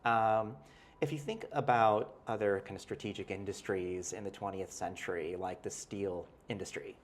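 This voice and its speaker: A man's voice: on the low side, steady tone, normal speed, slight background noise. A male professor, a guest in an interview, explaining ideas in a thoughtful, hedging way with frequent "right?" tags and restarts. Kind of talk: conversational interview.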